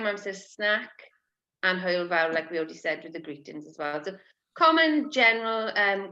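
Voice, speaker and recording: A chatty woman co-hosts a training webinar, her voice bright and a little low-pitched. The audio comes through dull and lo-fi. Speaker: webinar co-presenter.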